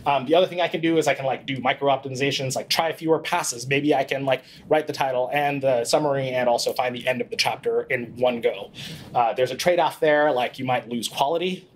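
A man in his thirties giving a live presentation in a small conference room, talking casually with many fillers and quick self-corrections, into a handheld mic picked up by a distant camera. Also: mid-range voice, slight background noise. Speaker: conference speaker. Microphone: handheld mic, far camera.

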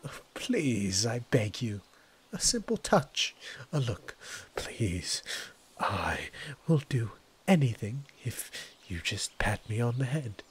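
A man voicing a proud, needy, menacing ancient forest entity in an ASMR roleplay, breathy and husky, with theatrical, formal diction. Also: medium pitch, big pitch swings, takes it slowly, slight background noise. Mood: pleading. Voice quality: breathy, husky, often dropping toward a whisper.

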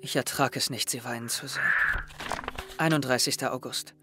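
A man's voice: mid-range voice, fairly even intonation, a little fast, over music, lightly acted. A young man playing an earnest, hesitant, guilt-ridden teenage boy in an audio drama, with a subdued manner. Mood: sad, subdued.

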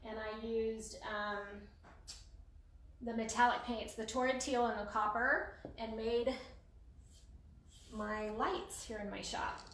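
A middle-aged woman chatting casually to a live stream while working, unhurried and trailing off as she demonstrates a craft. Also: a little high, steady tone, a bit bright. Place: indoor craft paint shop. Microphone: phone or camera a few feet away. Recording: roomy echo, clear noise, clicks.

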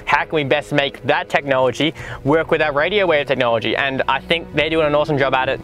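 A young Australian man in his twenties presents a casual vlog. His voice sits a bit high for a man, and he talks in an informal, enthusiastic way, as if chatting to mates. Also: quick pace, fairly bright tone, low-quality recording. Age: 20s. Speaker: vlogger and presenter. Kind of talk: casual vlog review to camera. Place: in a car and outdoors in the bush.

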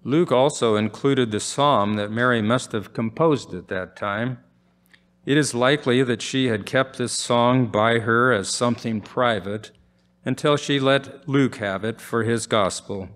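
An older man, around his seventies, preaching in a measured, formal way as he reads from a script at a church pulpit microphone. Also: mid-range voice, a bit bright. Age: older, about 70s. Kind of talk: sermon read from a script, with scripture readings. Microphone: pulpit microphone on a gooseneck stand.

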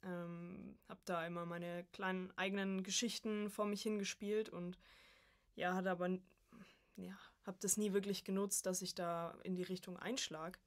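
A woman's voice: on the low side, little pitch movement, normal speed. A woman in her early twenties from Germany, talking informally as a podcast guest about herself. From Coburg, Germany. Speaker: podcast guest, voice acting student. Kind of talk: casual chat, personal anecdotes.

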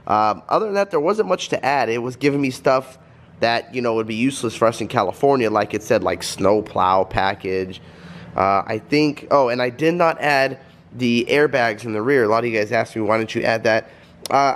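A man in his thirties with a cold, chatting casually to viewers while filming himself in his home garage. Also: mid-range voice. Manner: rambling, chatty, addresses viewers as guys. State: stuffed up from a cold. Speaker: vlogger. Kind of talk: casual vlog talk. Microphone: handheld vlog camera.

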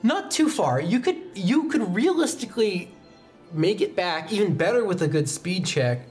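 A man's voice: sits high, big pitch swings, moderate pace, a little mellow. A young man narrating an improvised tabletop roleplaying game as game master, describing scenes like a storyteller. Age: young adult. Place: indoor studio, seated at a desk. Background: background music.

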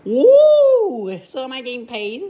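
A girl voicing a broke, scheming male plush character in a home toy video, talking quickly. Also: high voice, huge pitch swings, dark tone, dull audio. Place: home bedroom.

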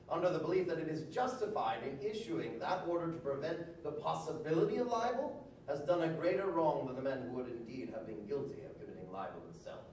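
Man acting a high-voiced, indignant old-time orator. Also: a little mellow, slight background noise, close to an everyday voice. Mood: defiant, righteous. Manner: declamatory, pompous. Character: indignant, grandiloquent 19th-century male orator. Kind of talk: dramatic reading of historical speeches. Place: large bare-walled room, on stage indoors. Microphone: stand microphone, recorded by far camera. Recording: echoey room heard from far off.

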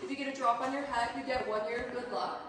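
A young woman guiding a cave tour, explaining the formations to a group in a measured, informative way. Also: on the high side, fairly even intonation, background noise. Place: large cave, indoors. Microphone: far camera held by a visitor. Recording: echoey cave recorded from far off.

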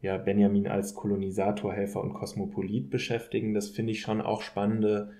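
A man in a thoughtful academic podcast discussion, speaking in a hesitant, measured way as an expert guest. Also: lowish voice, completely flat delivery, warm tone. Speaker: podcast guest, political scientist.

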